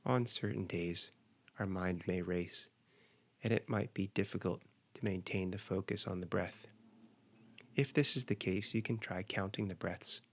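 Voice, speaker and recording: A man leading a guided meditation, giving measured, instructional cues with pauses between phrases. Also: low-pitched.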